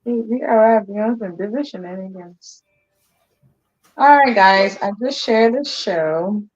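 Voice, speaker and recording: A Liberian woman hosting a casual live chat, telling stories in a relaxed way with colloquial slang and frequent laughter. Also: takes it slowly. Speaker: host. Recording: muffled low-fidelity stream audio.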